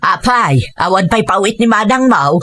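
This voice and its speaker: Husky, grumbling Filipino mother. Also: mid-range voice, lively intonation, moderate pace, pushed and bright. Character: demanding, grumbling elderly rural mother, picky and dismissive. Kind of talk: Ilocano radio drama acting.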